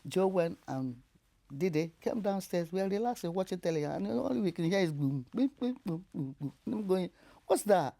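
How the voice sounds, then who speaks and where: An older woman with a Nigerian accent tells her life story in an interview, animated and laughing through hard memories. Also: fairly low pitch, moderate pace. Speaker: interview guest.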